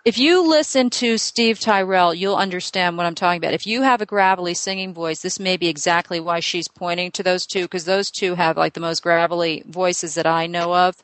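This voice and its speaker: A woman's voice: fairly low pitch, a bit bright, no room sound, low-quality recording. A woman working as a psychic medium, thinking aloud with lots of fillers and firing off questions to a client during a reading. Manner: asks many quick questions in a row. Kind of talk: live reading session with a client.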